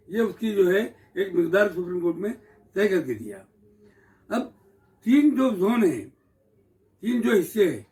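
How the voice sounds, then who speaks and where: Indian male speaker, high voice, methodical explainer, repeats and rephrases, recorded away from the microphone. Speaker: speaker explaining court rules to the public. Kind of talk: informal explanatory talk. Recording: voice distant from the mic.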